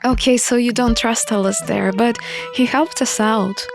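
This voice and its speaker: A woman's voice: fairly high pitch, fairly even intonation, a little slow, vocal fry, over music. A woman voicing a defiant, sardonic young runaway royal in a visual novel, sarcastic and challenging. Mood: questioning, skeptical.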